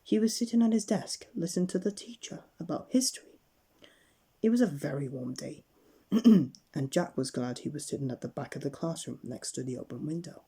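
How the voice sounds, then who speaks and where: A woman reading a bedtime story aloud with expressive, dramatic narration. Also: sits low, moderate pace, husky.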